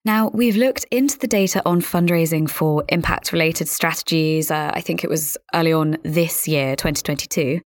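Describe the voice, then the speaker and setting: Low-pitched woman hosting a podcast. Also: moderate pace, few pauses, no room sound, clean studio sound. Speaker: podcast host. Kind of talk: scripted podcast narration and interviewing.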